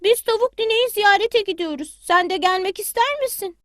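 Woman voicing a gentle mother hen. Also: very high-pitched, moderate pace, no room sound, clean studio sound, pitched above the performer's own voice. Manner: gentle, guiding. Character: caring mother hen, calm and warm.

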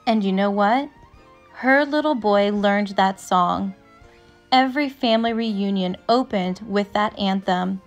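Slow, measured woman reading a storybook. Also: medium pitch, faint music behind. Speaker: narrator reading a picture book aloud. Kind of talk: storybook read-aloud, scripted narration.

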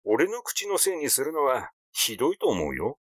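A man's voice: medium pitch, wildly swooping pitch, normal speed, no echo, studio quality. A man voicing a glib fifty-year-old artist in a story, nervously defiant, protesting in chatty, casual speech. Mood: sulky grumbling.

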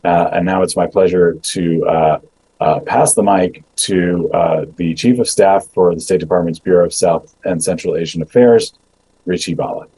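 Middle-aged male official on a webcam call, low husky voice, halting with fillers. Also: warm tone, poor audio. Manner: measured, many ums and uhs, restarts. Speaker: immigration agency official. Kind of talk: prepared remarks and Q&A answers. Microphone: video call webcam.

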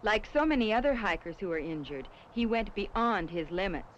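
An actress playing a reassuring superheroine who teaches children safety in an earnest, measured, didactic way in a 1970s educational film. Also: female voice, medium pitch, expressive, lightly acted. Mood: reassuring, cautionary. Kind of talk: scripted 1970s educational film acting and narration. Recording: muffled, noisy 1970s educational film soundtrack.

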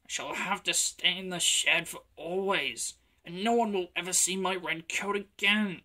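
Guy doing a proud train engine for kids, bright n forceful, swoops around, puffing his lines. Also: high-pitched, lively intonation, normal speed, voice pushed up, heavily acted. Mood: miserable. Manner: puffing, repeats phrases emphatically. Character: proud, determined male red steam engine.

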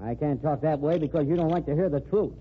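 An actor playing a bitter, threatening fired reporter in an old radio drama. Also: male voice, a little high, fast, breathy edge. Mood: menacing. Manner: sneering, threatening. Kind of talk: 1940s radio acting. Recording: tinny lo-fi old radio transcription with faint hiss.

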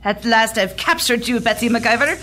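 A woman's voice: a little high, brisk, sharp tone. A woman playing a sadistic, theatrical Nazi doctor who gloats and taunts in a comic radio drama. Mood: gloating.

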